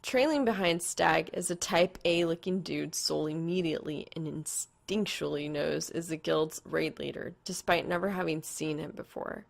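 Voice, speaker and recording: A woman narrates fan fiction from a script. Her voice sits on the low side and has a clearly creaky, gravelly edge, as she reads the story through. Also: moderate pace, hardly any pauses.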